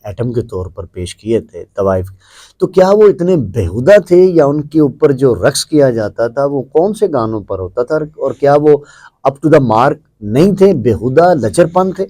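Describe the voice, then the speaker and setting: A man's voice: medium pitch, animated, mellow, no room sound. A man with a Pakistani accent asking questions as an interviewer in a conversational style.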